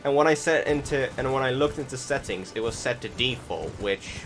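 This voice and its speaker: A man giving casual gameplay commentary, rambling and halting, often correcting himself as he goes. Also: mid-range voice. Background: game music.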